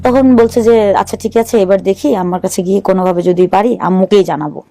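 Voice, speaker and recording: A woman, telling her life story as a talk show guest in an earnest, halting way, often restarting her sentences and mixing in English words. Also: mid-range voice, warm tone, slight background noise.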